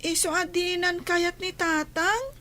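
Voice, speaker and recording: A woman's voice: very high-pitched, measured pace, sharp tone, full character voice. A woman acting a plaintive young child who misses a parent, asking questions in a radio drama. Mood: plaintive, wondering.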